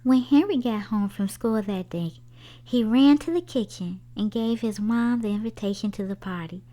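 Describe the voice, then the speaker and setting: A woman reading a children's picture book aloud in an expressive storytime style, putting questions to young listeners. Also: medium pitch, normal speed.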